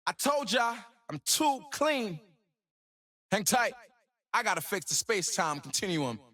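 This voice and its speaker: A young, ambitious hip-hop hero from a video game. His voice is high and swings around in pitch, with slow, rhythmic, slangy swagger and total self-belief. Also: very animated, sharp tone, full character voice. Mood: boastful, then playful, busy. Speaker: video game voice actor and rapper. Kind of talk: game voice lines.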